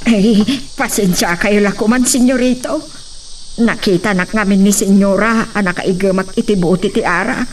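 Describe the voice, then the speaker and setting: A woman's voice: mid-range voice, fairly even intonation, moderate pace, lightly acted. A woman with an Ilocano accent playing a deferential, motherly older household servant in a radio drama. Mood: apologetic, obliging. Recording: distant mic, faint cricket noise.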